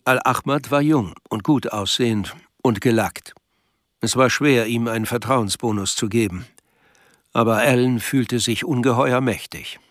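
A man's voice: mid-range voice, a little slow, no echo, studio quality, close to an everyday voice. A man acting as the observant, wry narrator of a German radio drama, reading scripted literary prose.

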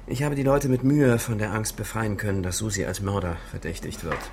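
An actor playing a sardonic amateur sleuth in a radio crime drama, reporting in a dry, clipped and grumpy way. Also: male voice, on the low side, fairly even intonation, brisk, darkish tone, close to an everyday voice. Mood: sardonic, exasperated. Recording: noisy ambience behind the voice.

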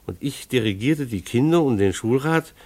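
An actor playing a mild-mannered, beleaguered schoolteacher husband who narrates a German radio play in a wry, earnest, hesitant way. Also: male voice, mid-range voice, expressive, normal speed, darkish tone, natural voice. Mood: wry narrating. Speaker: narrator and lead character.

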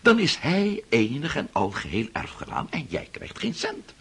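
An actor playing a scheming, impatient older prince in a radio drama, coaxing and condescending with a rough, hoarse voice. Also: male voice, fairly high pitch, huge pitch swings, normal speed, full character voice. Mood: impatient, insistent.

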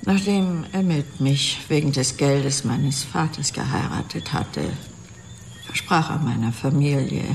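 Slow, creaky, bitter older wife. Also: female voice, very deep voice, a little hiss or hum, full character voice. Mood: icily pointed. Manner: deliberate, formal, icily pointed. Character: bitter, genteel, vengeful older wife and mother. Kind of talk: German radio drama acting.

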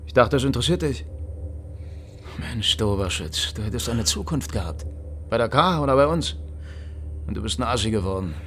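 A menacing security officer in a radio crime play. He taunts and needles with open contempt, in a mid-pitched man's voice, over faint background noise. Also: lively intonation, moderate pace, long pauses, fairly bright tone. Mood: sneering, taunting. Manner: needling, sneering. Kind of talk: radio crime drama acting.

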